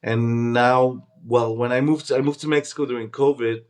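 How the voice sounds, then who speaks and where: A man's voice: medium pitch, steady tone, normal speed. A man in his twenties, born in Peru and raised in Canada, talking casually as a startup founder being interviewed. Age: 20s.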